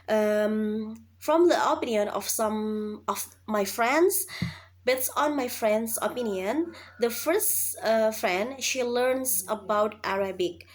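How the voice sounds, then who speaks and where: Young female student, slow and halting, recorded test answer. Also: on the high side. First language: Javanese. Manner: hesitant, many fillers and restarts.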